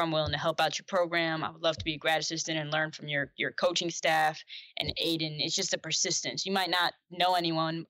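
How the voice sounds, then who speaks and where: A chatty young woman talking as a podcast guest, her voice bright and forward with a slightly low pitch. She speaks casually, full of restarts and fillers. The sound is close and dry. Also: no room sound. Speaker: podcast guest, basketball staff. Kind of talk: casual interview conversation.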